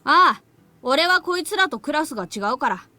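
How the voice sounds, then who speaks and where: Casting: irritable, rough-spoken boy for a visual novel, high bright voice, rapid-fire. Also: animated, dry recording. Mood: offhand. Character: brash, irritable young boy with rough speech. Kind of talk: Japanese visual novel voice acting.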